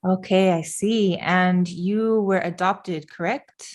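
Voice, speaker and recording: A woman's voice: lowish voice, steady tone, slow pace. A young woman hosting a podcast from her living room. She opens the show and interviews her guest with upbeat enthusiasm. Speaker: podcast host.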